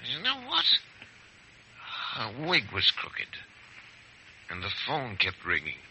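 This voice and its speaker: An actor playing a drunken young gentleman, slurring and rambling in 1930s radio drama style. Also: mid-range voice, wildly swooping pitch, takes it slowly, a little hiss or hum, heavily acted, rough recording. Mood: secretive, tipsy. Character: drunken upper-class young English gentleman.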